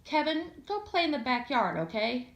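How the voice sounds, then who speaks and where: In an echoey room, a woman reader acts out a young mother from a storybook. She sounds firm and brisk yet placating, with a slightly lifted pitch. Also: very animated.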